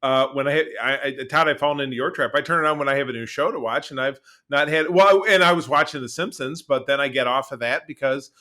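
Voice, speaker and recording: A man co-hosting a podcast in casual, opinionated chat, animated and emphatic, often repeating his phrases. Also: medium pitch, fast, few pauses. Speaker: podcast co-host.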